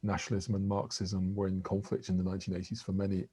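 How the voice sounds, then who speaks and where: Fast-talking academic man, dry sound. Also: low-pitched, flat delivery, mellow, breathy edge, no echo. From England. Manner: articulate, measured, restarts words. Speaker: interviewed professor. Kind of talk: academic interview answers.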